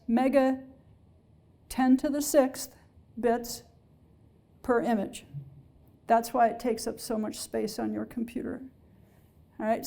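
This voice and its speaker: A woman lecturing on engineering to a public audience in a hall, her voice pitched high yet soft and mellow. She explains patiently, pausing often to ask whether her listeners follow. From Alaska-based. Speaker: engineering professor.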